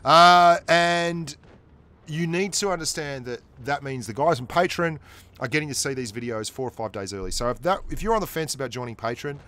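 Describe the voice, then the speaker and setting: A man's voice: a little high, a little fast, pushed and bright. A man with an Australian accent giving chatty, joking commentary over his own tank gameplay as host of a gaming channel. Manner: chatty, joking, self-deprecating.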